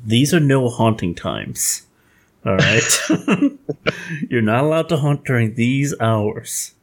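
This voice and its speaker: A man's voice: medium pitch, sing-song, slow. A man joking around in a casual podcast chat.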